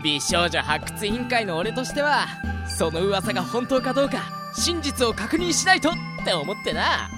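A woman's voice: mid-range voice, very animated, moderate pace, few pauses, over loud music, natural voice. A woman playing a cheerfully foolish, girl-crazy teenage schoolboy in a radio drama, with breezy, boastful slang. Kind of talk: Japanese amateur radio drama acting.

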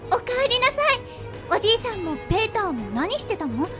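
A woman's voice: extremely high pitch, very animated, fairly quick, loud music behind the voice. A woman playing a sweet, easily wounded young girl in an amateur comedy radio drama, with a cutesy, polite, melodramatic manner. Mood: sweet, cheerful.

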